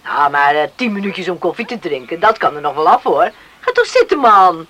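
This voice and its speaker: An actress playing a brisk, hospitable farm woman in a Dutch radio play, coaxing and practical. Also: lowish voice, sing-song, fairly bright tone. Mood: friendly, coaxing.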